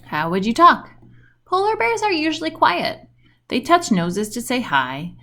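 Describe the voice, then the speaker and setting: A woman reading a children's book aloud in an expressive storytelling style with questioning intonation. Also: high-pitched, measured pace.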